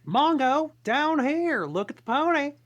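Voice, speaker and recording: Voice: high-pitched, lively intonation, a little slow. A performer voicing a chatty talking donkey in a table read, coaxing in a sing-song way.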